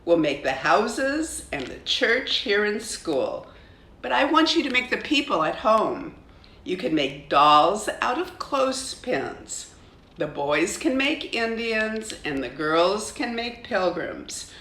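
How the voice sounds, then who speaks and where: A woman voicing a brisk female schoolteacher in a storybook, giving step-by-step instructions. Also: mid-range voice, very animated, fairly bright tone, echoey room, voice pushed up, exaggerated voice. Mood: brisk, instructing.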